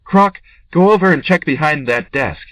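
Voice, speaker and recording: A man's voice: fairly high pitch, expressive, moderate pace, fairly bright tone, dry recording, poor audio. An actor in an audio drama playing a tense, bossy armed man who takes charge and interrogates people urgently. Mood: commanding. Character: tense male amateur investigator with a gun, commanding.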